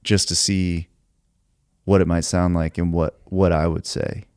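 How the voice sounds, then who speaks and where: A man who writes songs, talking in a relaxed podcast conversation, thinking aloud and reflecting at length with many filler words. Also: low-pitched, unhurried, smooth, dry recording, clean studio sound. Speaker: songwriter.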